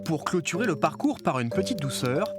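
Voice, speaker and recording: A man's voice: a little high, big pitch swings, brisk, over loud music. A man reading scripted reportage narration as the voice-over of a documentary.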